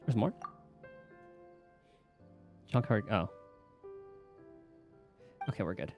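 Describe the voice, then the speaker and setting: A man's voice: lowish voice, expressive, takes it slowly, highs cut off, faint music behind. A man streaming a game, chatting casually and reading the game's text aloud.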